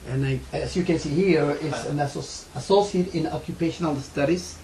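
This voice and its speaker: A man's voice: on the high side, warm tone, poor audio. Ecuadorian guy, heavy spanish accent, telling his story, lots of uhs and restarts, bit of hiss behind. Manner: earnest, halting with many fillers and restarts. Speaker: interviewee, school owner. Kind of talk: personal story in an interview.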